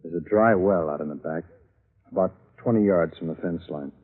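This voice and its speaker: A man's voice: low voice, moderate pace. An actor playing a nervous, defensive male photographer who stammers through his story in 1950s radio drama style. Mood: nervous explaining. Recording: narrow, phone-like old radio broadcast.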